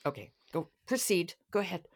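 A woman hosting a podcast in casual chat, briskly prompting her guest with questions. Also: lowish voice, expressive, slightly rough. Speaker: podcast host.

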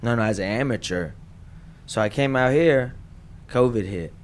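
Young man from nashville, amateur boxer guest, relaxed halting talk, filler words. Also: medium pitch. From Nashville, Tennessee. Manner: laid-back, halting, lots of fillers. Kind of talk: casual interview chat.

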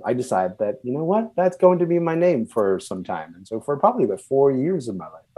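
Guy from sudan, moved to canada as a kid, english not his first language, lowish voice, thoughtful author interview, pauses to find words. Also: dark tone. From born and raised in Sudan, moved to Canada at twelve. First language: non-English first language. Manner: thoughtful, halting, searching for words. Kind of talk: conversational, reflective interview.

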